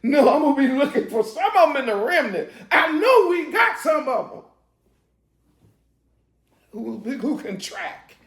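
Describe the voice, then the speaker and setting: A man preaches in a high, gravelly voice. He shouts and laughs through his asides, then slows down to read scripture. The room rings with echo. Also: very animated. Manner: loose, shouting and laughing, then slow verse reading. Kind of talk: street-style sermon teaching.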